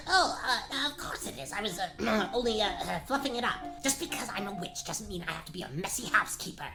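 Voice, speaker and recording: A woman's voice: mid-range voice, lively intonation, brisk, creaky, over music. A woman voicing a crabby, half-blind old witch in a comedic radio play, sly, blustering and snappish. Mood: flustered. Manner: wheedling endearments, flustered bluster, snappish. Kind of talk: comedic radio play, gag-dub cartoon acting.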